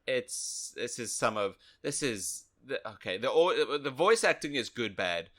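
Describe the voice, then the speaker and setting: A man co-hosting a casual video game review podcast, giving blunt opinions in short, halting phrases. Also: mid-range voice, animated, a bit bright. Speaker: podcast co-host.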